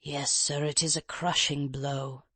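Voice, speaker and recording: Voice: mid-range voice, little pitch movement, unhurried, fairly bright tone, slightly rough, no room sound. A reader playing a frail, mincing old professor. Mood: fretful. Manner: mincing, courteous, self-pitying. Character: frail old male professor, chain-smoking invalid scholar. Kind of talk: audiobook character reading.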